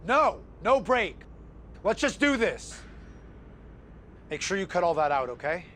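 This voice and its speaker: A woman's voice: lowish voice, very animated, measured pace, fairly bright tone, slight background noise. An actress playing a haughty, vindictive female boss, curt and imperious, in a video game cutscene. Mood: curt, commanding.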